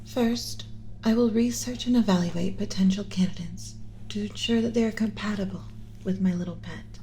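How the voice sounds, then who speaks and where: A woman voicing a doting alien scientist mother in a soft, breathy ASMR whisper, speaking with slow, soothing, formal tenderness. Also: mid-range voice, a little hiss or hum, natural voice. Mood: measured, caring. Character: doting female alien scientist mother, gently possessive of human pet.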